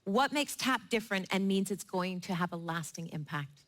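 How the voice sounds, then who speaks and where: Woman moderator, medium-pitched voice. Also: expressive, normal speed, fairly bright tone. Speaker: panel moderator. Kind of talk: hosting a live discussion.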